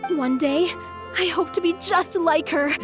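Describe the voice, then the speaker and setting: A woman's voice: extremely high pitch, measured pace, music in the background. A woman playing a cheerful, money-loving young traveling merchant in a video game, with a chipper, persuasive sales manner. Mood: starstruck.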